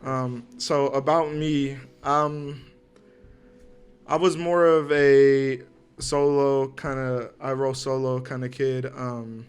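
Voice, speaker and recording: A man's voice: medium pitch, little pitch movement, slow, clear, resonant tone. A young man of about twenty co-hosting a podcast in an informal, slangy, conversational way. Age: 20s. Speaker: podcast co-host. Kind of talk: casual chat.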